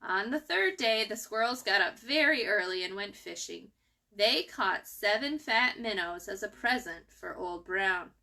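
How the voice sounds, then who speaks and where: A woman storyteller reading a children's book aloud expressively, with animated delivery and dramatic emphasis. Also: mid-range voice, measured pace, creaky.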